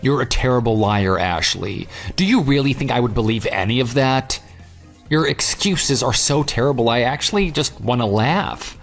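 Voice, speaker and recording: Sarcastic, animated jilted man, played by an actor. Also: mid-range voice, normal speed, gravelly, faint music behind. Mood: smug. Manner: sarcastic, taunting. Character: vengeful jilted ex-fiancé, sarcastic and coldly smug. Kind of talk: dramatized phone dialogue.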